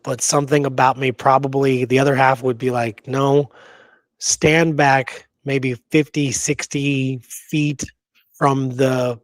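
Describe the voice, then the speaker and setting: An American man co-hosts a relaxed history talk in a mid-pitched voice. He speaks informally and leans on repeated words for emphasis. The sound is very dry, with no room around it. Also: slow, no room sound. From Pacific Northwest, United States. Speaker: show co-host and history researcher. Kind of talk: casual history discussion.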